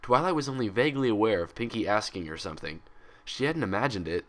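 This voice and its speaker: A man reading a story aloud in an expressive, scripted narration style. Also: lowish voice, quick pace. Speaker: fan fiction reader.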